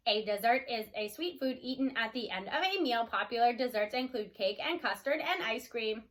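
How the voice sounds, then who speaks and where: A woman voicing a gentle, patient female robot in a storybook, speaking in a formal, measured manner. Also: a little high, animated. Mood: explaining.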